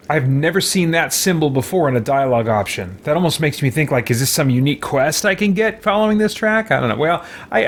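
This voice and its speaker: A man in his thirties doing casual let's-play commentary from a room at home, hesitantly thinking aloud. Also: fairly high pitch. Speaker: gaming streamer. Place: small indoor room at home.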